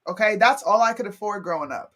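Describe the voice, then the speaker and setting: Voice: medium pitch, expressive, fast, bright, forceful tone. A co-host from Pennsylvania talking bluntly and profanely in a casual debate on a talk show.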